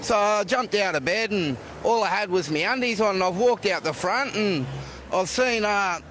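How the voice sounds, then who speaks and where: A man with an Australian accent telling a casual anecdote in a news interview, relaxed and slangy. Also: sits high, unhurried, a little hiss or hum.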